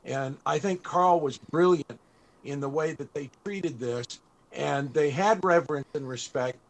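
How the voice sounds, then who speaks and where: An older man hosting an interview, chatty and a bit halting, with frequent chuckles. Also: a little high, vocal fry. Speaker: panel host.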